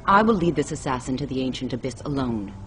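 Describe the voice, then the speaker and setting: A woman playing a calm, resolute alien Jedi master in a video game, with a measured, authoritative delivery. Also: rumbling low, a little hiss or hum. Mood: firm, grave. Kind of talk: cinematic video game acting.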